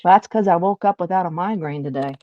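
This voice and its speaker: Low-voiced guest woman on a dull call. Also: a little fast, no echo. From Delaware. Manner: easygoing, jokey, laughs a lot. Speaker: special guest. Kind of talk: casual chat over a call.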